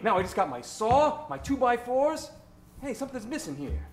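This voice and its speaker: A man's voice: very high voice, sing-song, moderate pace, reverberant. A man acting a wide-eyed little boy in a spoof old-time radio advert, exclaiming in gee-whiz style. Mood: eager.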